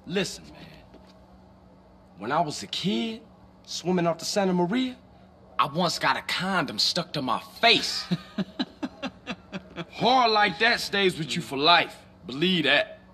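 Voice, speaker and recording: High-pitched, lively young American gangster. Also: male voice, unhurried, long pauses, a little hiss or hum, exaggerated voice. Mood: joking, skeptical. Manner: slangy, brash, joking. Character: young American street gangster, cocky, wisecracking, loyal. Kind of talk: video game cutscene acting.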